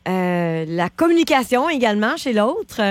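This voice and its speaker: A woman's voice: a little high, sing-song, normal speed, no room sound. A woman hosting a radio interview, upbeat and effusive. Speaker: radio host.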